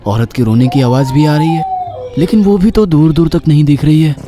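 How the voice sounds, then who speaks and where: A man playing a puzzled, dutiful police inspector in an animated horror story, in a dramatic, questioning manner. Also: fairly high pitch, moderate pace, music in the background, lightly acted.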